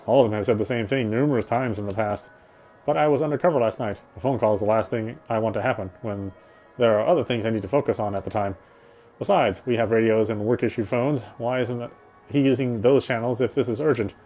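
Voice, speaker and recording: A man's voice: mid-range voice, brisk, warm tone, soft background music. A man reading first-person visual novel narration aloud in a conversational way, now and then stumbling and repeating himself.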